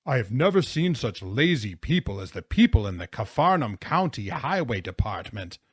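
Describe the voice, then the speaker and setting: A man's voice: medium pitch, big pitch swings, normal speed, slightly rough, no echo, clean studio sound. A man voicing a blustery, grumbling, worn-out grown man in an audiobook story. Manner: gasping, grumbling, roaring.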